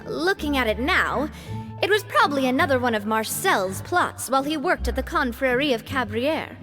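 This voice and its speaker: An actress playing a gracious, earnest young gang leader in a video game, speaking politely and with care. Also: female voice, high voice, animated, normal speed, over music. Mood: composed. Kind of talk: video game dialogue acting.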